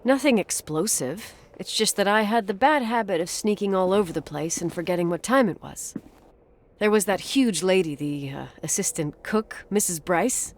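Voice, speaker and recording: A woman's voice: medium pitch, normal speed, lightly acted. A woman playing a wry, guarded character in a game, speaking in a hesitant, dryly sarcastic, naturalistic conversational way. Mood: nostalgic. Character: wry, guarded adult woman recalling her childhood.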